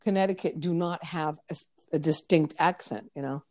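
Woman on a podcast, low-ish voice, swears a lot, dry close sound. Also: normal speed, darkish tone, dry recording. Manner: blunt, swearing, chatty storyteller. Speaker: podcast host. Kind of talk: casual podcast chat.

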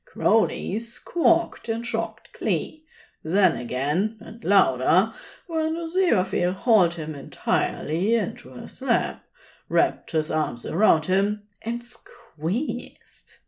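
A woman narrates a piece of fiction in a slightly low voice. Her reading is slow and expressive, with pitch that moves in a lively way from phrase to phrase. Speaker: podfic narrator.

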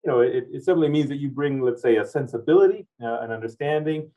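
A man's voice: medium pitch, fast talker, warm tone, far from the mic. A man hosting an interview, asking long, rambling questions that loop back on themselves. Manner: rambling, loops back on his phrasing. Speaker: interviewer host. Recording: tinny phone-like sound, off-mic.

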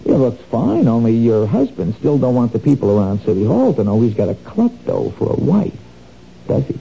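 A man's voice: mid-range voice, sing-song, moderate pace, vocal fry, dark tone. An actor playing a sly, menacing male crook who wheedles and threatens, in a 1970s radio drama. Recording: dull lo-fi old radio recording, faint noise.

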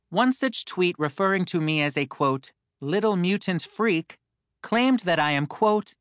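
A man's voice: a little high, fairly bright tone, no room sound, clean studio sound. A man reading his own memoir aloud as an audiobook, with campy, wry comic asides.

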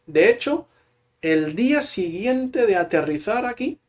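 High-voiced man, relaxed talker. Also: unhurried. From Catalonia, Spain. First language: Catalan. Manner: relaxed, explains at length with fillers. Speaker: guest, Spanish teacher. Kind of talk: casual interview chat.

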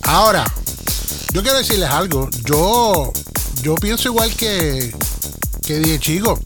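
Sing-song Puerto Rican radio host. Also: male voice, fairly high pitch, expressive, unhurried, over music. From Puerto Rico. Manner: rambling, sing-song delivery with restarts. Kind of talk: live radio talk.

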